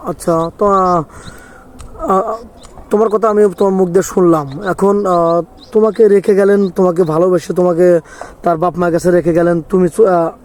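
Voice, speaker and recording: A man's voice: high voice, steady tone, fairly quick, pauses often, darkish tone. A man with a Bangladeshi accent hosting an informal interview as the interviewer. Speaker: host interviewer.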